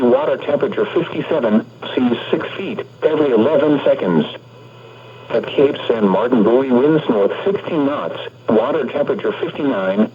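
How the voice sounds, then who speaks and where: A man's voice: mid-range voice, slight background noise. Muffled synthetic male weather radio announcer, American. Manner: impersonal, formulaic synthesized delivery. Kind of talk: scripted marine forecast readout.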